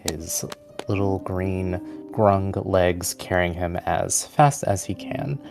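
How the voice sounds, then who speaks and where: A man narrating a tabletop fantasy game as game master in a measured storytelling manner. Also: deep voice, steady tone, vocal fry, music in the background.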